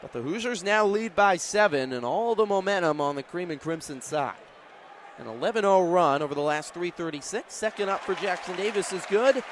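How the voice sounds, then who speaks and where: Man, American accent, live radio sports commentary, high voice, clipped descriptive calls, faint arena noise. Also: animated, a little fast. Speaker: basketball play-by-play announcer. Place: courtside in a large indoor arena. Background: basketball crowd, bouncing ball.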